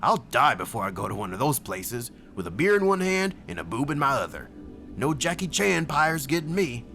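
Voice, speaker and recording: A man's voice: mid-range voice, big pitch swings, moderate pace, creaky, pushed and bright, soft background music, voice pushed up, exaggerated voice. A man voicing a brash, crude, easygoing young man with blunt, slangy talk in a narrated story. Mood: defiant, brash. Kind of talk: acted dialogue in a narrated story.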